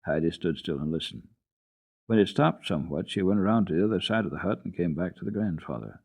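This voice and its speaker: A man giving an expressive, dramatic radio reading of a children's classic, with deliberate phrasing. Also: low voice, creaky, husky. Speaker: radio storyteller. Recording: dull lo-fi old radio recording.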